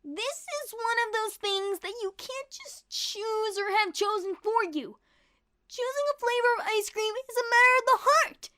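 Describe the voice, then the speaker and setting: A woman's voice: very high-pitched, measured pace, sharp tone, exaggerated voice. A woman voicing a brash, encouraging young cartoon pegasus mare with an earnest, emphatic delivery.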